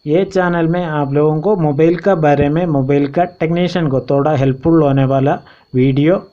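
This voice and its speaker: A man giving an informal step-by-step repair tutorial, explaining in a halting, repetitive way. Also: on the high side, steady tone, warm tone. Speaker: phone repair instructor and channel host. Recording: dull lo-fi home recording.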